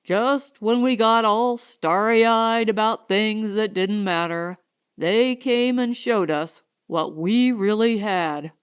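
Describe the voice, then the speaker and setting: Woman playing Marsden, a slow, steady male colonist. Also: medium pitch, clean tone, natural voice. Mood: firm, contented.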